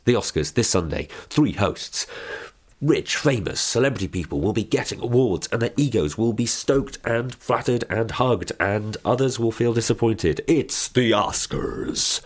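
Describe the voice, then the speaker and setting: A man hosting a podcast, hoarse and croaky from a cold, chatting casually and poking fun at himself. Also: low-pitched. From Britain. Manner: chatty and self-mocking. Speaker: podcast host. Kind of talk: casual chat.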